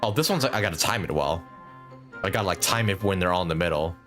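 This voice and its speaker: A man streams a game in a low-pitched voice with animated intonation. He comments casually and thinks aloud, repeating short phrases, with faint music behind him. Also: fast. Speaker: game livestreamer. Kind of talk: casual live gameplay commentary.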